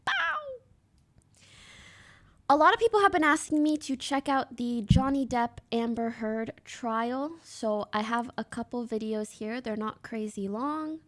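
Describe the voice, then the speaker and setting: A woman's voice: on the high side, measured pace. A woman in her twenties from Canada, giving blunt, casual commentary on a stream and swearing now and then.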